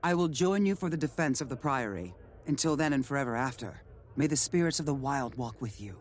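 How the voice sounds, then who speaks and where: An actor playing a noble veteran male hero in a fantasy video game, earnest and measured. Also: mid-range voice, animated, close to an everyday voice. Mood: solemn, earnest. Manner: measured, solemn.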